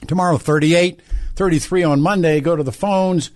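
A man's voice: a little high, steady tone, moderate pace, a little mellow, dry recording. American man hosting talk radio, dry studio sound. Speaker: radio talk show host. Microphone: close studio microphone.

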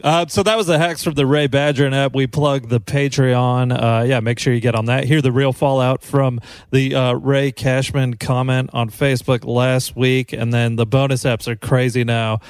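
A man trading jokes over a video call, laughing often and poking fun at himself. His voice sits at an everyday middle pitch and sounds close and dry, with no room around it. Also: fairly even intonation, moderate pace, hardly any pauses, no echo. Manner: joking, laughs often, self-mocking. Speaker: podcast co-host.

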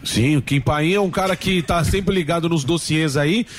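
A man's voice: a little high, moderate pace, noisy background. A man on a political panel who introduces the guest in friendly terms and asks about his next dossier. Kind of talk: casual political panel talk.

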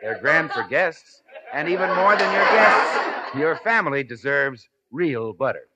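A man's voice: fairly high pitch, very animated, a little slow, a loud crowd. An American man reading a 1950s radio advertisement in a folksy, persuasive sales style. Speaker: radio announcer. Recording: noisy 1950s radio broadcast.

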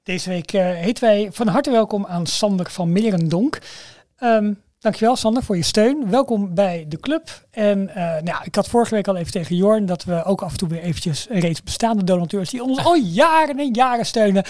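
A man's voice: high-pitched, moderate pace, hardly any pauses, darkish tone, dry recording, clean studio sound. A man, chatting casually as a podcast co-host. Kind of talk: casual chat. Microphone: podcast microphone.

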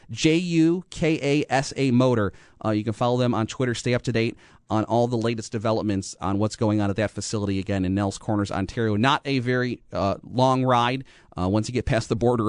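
An American man hosting a motorsport radio show, talking informally and haltingly, often rephrasing mid-sentence. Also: mid-range voice, no echo, clean studio sound. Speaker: radio host. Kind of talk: motorsport talk radio monologue.

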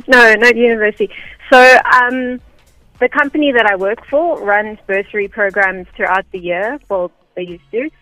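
A woman's voice: fairly high pitch, normal speed, pushed and bright, dry recording, rough recording. South African woman, dry close sound. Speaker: radio interview guest, air traffic information officer. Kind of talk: conversational explanation in an interview. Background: faint background music.

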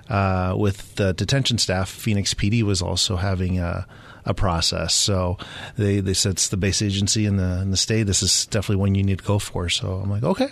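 A middle-aged man from Southern California, a native Spanish speaker with an American accent, talking casually and a little haltingly as an interview guest. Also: fairly low pitch. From Southern California, United States. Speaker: police sergeant, interview guest.

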